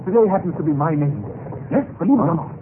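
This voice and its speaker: An actor playing a jovial, bossy senior clerk in a radio drama, exclaiming and insisting in an effusive way. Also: male voice, on the high side, animated, quick pace, lightly acted. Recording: tinny old radio, noisy background.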